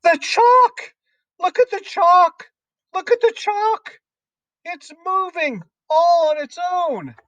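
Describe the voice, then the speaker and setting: Excitable schoolboy, extremely high shrill screaming, hysterical, amazed, storybook reading. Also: male voice, slow, dry recording, muffled recording, heavily acted.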